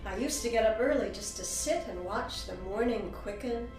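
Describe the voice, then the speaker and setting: A woman playing a reflective poet looking back on her youth, narrating in a measured, solemn, lyrical way. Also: a little high, close to an everyday voice. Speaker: audiobook performer. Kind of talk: dramatic poetic audiobook narration. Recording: roomy, distant microphone, music behind. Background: music.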